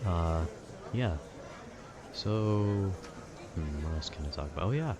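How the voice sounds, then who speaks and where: A man with a very deep voice rambles in a casual, conversational way. He sounds nerdy and meanders from thought to thought like a gamer chatting. Clear ambient noise runs behind his voice. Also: expressive, takes it slowly. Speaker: content creator. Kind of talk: casual rambling monologue about video games.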